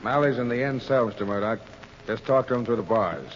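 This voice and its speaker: An actor playing a gruff, hardened prison guard with a gravelly voice in 1930s radio drama style. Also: male voice, mid-range voice, a little fast, natural voice. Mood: matter-of-fact. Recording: very noisy, muffled 1930s radio recording.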